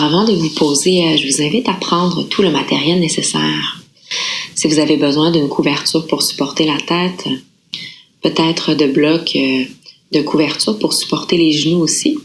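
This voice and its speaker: A woman yoga nidra instructor guiding a relaxation meditation in a calm, unhurried, soothing manner with gentle pauses. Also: deep voice, a little hiss or hum, low-quality recording.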